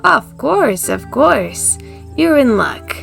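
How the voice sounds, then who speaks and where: A woman's voice: sits high, big pitch swings, slow pace, creaky, fairly bright tone, faint music behind, exaggerated voice. A woman playing a kindly, hospitable older small-town woman, gracious and motherly, in a fan-dubbed visual novel. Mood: gracious, welcoming.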